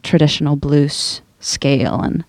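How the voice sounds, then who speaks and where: A woman's voice: low voice, fairly even intonation, measured pace, no echo. A woman from Sweden chatting informally in a studio, hesitant and thinking aloud as she goes. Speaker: guest singer. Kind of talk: casual studio chat.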